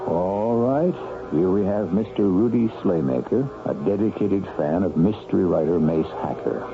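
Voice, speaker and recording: Very deep, gravelly radio host. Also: male voice. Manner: deliberate, theatrical. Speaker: host and narrator of a radio mystery show. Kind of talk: dramatic scripted radio narration. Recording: tinny radio broadcast, music behind.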